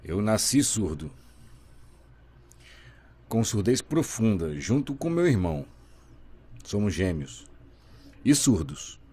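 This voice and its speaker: A man voicing a deaf guest's signing in the first person, telling his story in a lively, expressive way. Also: lowish voice, takes it slowly, pauses often, slightly rough. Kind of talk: simultaneous voice interpreting, first person.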